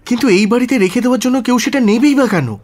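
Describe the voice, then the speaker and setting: A man's voice: very high voice, fast, a little mellow. A man playing an agitated, emotional British government secretary in a radio drama, stammering and repeating himself with English exclamations. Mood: indignant. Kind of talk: Bengali radio drama acting.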